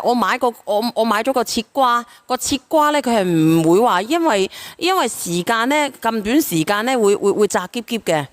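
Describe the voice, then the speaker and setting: A woman hosting a live call-in chat, questioning callers in a blunt, animated way. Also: on the high side, no echo. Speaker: live-stream host of a relationship call-in show.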